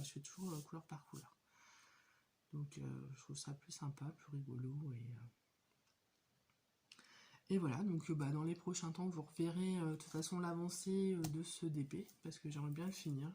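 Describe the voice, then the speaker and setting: Low-voiced French woman with a cold. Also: mellow, a little hiss or hum. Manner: hesitant, many fillers and restarts. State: has a cold. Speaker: hobby vlogger. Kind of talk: casual show-and-tell chat.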